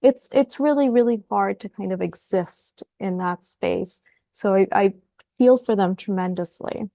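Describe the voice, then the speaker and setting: Creaky-voiced woman, podcast guest. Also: mid-range voice, measured pace, warm tone, no room sound, clean studio sound. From Ukraine. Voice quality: thoughtful, repeats words as she starts sentences. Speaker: guest psychologist. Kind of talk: podcast interview.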